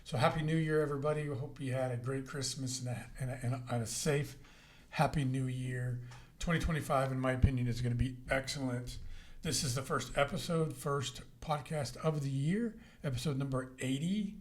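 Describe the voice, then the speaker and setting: Relaxed podcast host, man. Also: mid-range voice, fairly quick. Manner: relaxed, rambling with fillers. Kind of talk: casual podcast chat.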